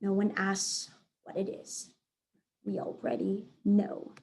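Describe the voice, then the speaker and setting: A woman's voice: medium pitch, flat delivery, takes it slowly, vocal fry, a little mellow. A woman reading aloud as a teacher, narrating a children's book expressively.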